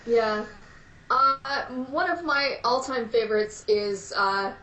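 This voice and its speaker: Fairly high-voiced woman in a roomy room. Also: expressive, slow, sharp tone. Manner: thoughtful, hedging with fillers. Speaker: podcast guest. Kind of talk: casual podcast chat. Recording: roomy echo.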